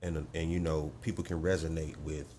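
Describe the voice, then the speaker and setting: A man hosting a casual interview, relaxed and easygoing, backing up his guest with "exactly" and "okay". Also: extremely low pitch, fairly quick, slight background noise. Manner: laid-back, echoes answers with "exactly" and "okay". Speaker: interview host.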